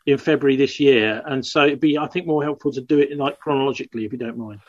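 A male host politely steering an interview, hesitant and trailing off softly. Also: medium pitch, fast, no echo, lo-fi sound.

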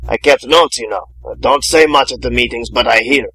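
A man's voice: mid-range voice, normal speed, sharp tone, close to an everyday voice, poor audio. A man voicing a determined, blunt young man who talks matter-of-factly.

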